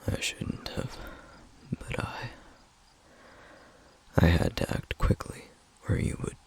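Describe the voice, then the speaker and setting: A man playing a protective, duty-bound flame demon in an ASMR roleplay, speaking in a hushed, gravelly, halting and intense way. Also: lightly acted, low-quality recording. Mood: apologetic, grave. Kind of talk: ASMR roleplay, scripted character acting.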